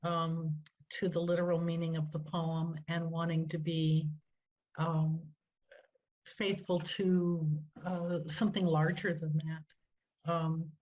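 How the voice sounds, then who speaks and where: An older woman, a poet and translator, speaking hesitantly with many pauses on a video call through a headset. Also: sits low, level tone, a little mellow. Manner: hesitant, many fillers, thoughtful. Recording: muffled lo-fi call audio.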